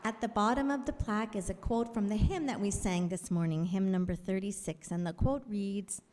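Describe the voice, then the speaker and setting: Woman giving a church announcement, warm mellow voice, long echo from the big sanctuary. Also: mid-range voice, moderate pace, slight background noise. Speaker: church speaker dedicating a piano. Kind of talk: formal announcement in a worship service. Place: large sanctuary. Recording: long hall reverb.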